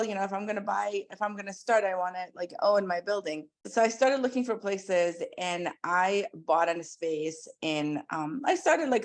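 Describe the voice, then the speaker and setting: This is a woman from Iran in her forties with a somewhat low voice. She is a dentist and a podcast guest. She tells her story in a chatty, animated way, with plenty of "like" and "um". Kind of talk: casual interview storytelling.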